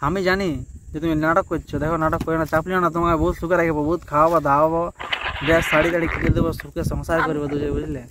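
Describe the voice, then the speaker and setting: A man's voice: a little high, little pitch movement, very fast, background noise. A young man with a rural western Bengali accent from Purulia, pleading and arguing insistently and melodramatically on a casual prank phone call, recorded outdoors. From West Bengal, India. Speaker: prank caller.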